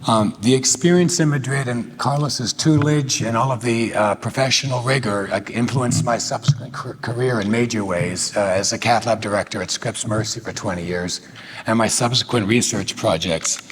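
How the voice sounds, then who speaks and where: An older man from the United States giving a humorous, self-deprecating tribute speech, with many filler pauses. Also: mid-range voice, husky. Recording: long hall reverb, faint noise.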